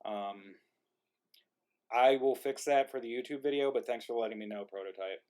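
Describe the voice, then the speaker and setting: Man hosting a casual, halting live stream. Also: mid-range voice, crowd noise. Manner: halting, thinking aloud while troubleshooting. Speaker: host of an online live-streamed play reading. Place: remote group video stream.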